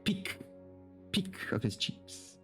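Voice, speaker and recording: A man giving a dramatic, deliberate story reading, dropping into casual wry asides. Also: faint music behind. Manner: theatrical, deliberate, breaks off into casual wry remarks. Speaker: streamer reading aloud.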